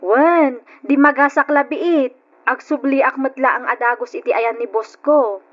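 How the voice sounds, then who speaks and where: A woman's voice: high-pitched, unhurried, highs cut off. A woman with an Ilocano accent playing a dutiful, devout, hardworking daughter in an old radio drama. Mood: earnest. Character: dutiful, devout, hardworking daughter supporting her poor family.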